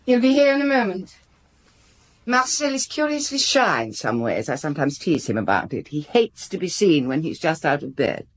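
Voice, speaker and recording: A woman's voice: low voice, very animated, moderate pace. An actress playing a composed, well-to-do wife in a radio drama, genteel and guarded in her manner. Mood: composed, confiding.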